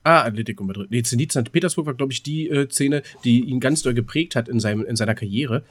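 A man's voice: medium pitch, fast talker. A man co-hosting a podcast in casual, colloquial chat, repeating words as he thinks aloud. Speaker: podcast co-host.

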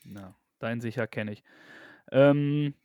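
A man's voice: medium pitch, slow, clean tone, no echo, dull audio. A man co-hosting a podcast, playing a casual guessing game, teasing and laughing often. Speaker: podcast co-host.

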